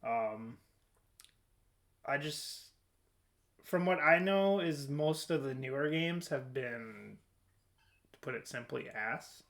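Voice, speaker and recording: A man's voice: medium pitch, lively intonation, takes it slowly, creaky, long pauses, a bit bright. A man hosting a podcast, chatting casually in an informal, blunt way. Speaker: podcast host. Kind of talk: casual chat.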